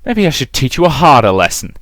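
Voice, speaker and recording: A man's voice: mid-range voice, sing-song, fairly quick, a bit bright, no echo, pitched above the performer's own voice. A man voicing an abusive, volatile mother in a visual novel, with theatrical, emphatic delivery. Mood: furious, menacing. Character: abusive mother, volatile, domineering, cloying when remorseful. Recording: music behind the voice.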